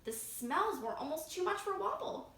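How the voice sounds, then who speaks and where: A woman reading a picture book aloud to children as a library storytime reader, expressive and engaging them directly. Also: very high voice, moderate pace. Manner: expressive, asking young listeners questions. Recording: roomy echo, faint background noise.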